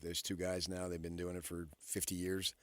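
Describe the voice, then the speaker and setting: Low-voiced American man, radio co-host. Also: monotone, moderate pace, no room sound. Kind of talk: casual chat. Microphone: close studio microphone.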